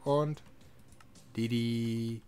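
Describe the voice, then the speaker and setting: A man's voice: mid-range voice, fairly even intonation, very slow, mellow. A man giving casual let's-play commentary as a gaming video host, thinking aloud while he plays. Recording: music behind the voice.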